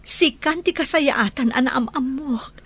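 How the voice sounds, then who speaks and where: A woman's voice: high-pitched, unhurried, a bit bright, husky, heavily acted. A woman with an Ilocano accent playing an earnest, emotional young woman in a family radio melodrama. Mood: earnest, plaintive. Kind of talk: Ilocano radio drama acting.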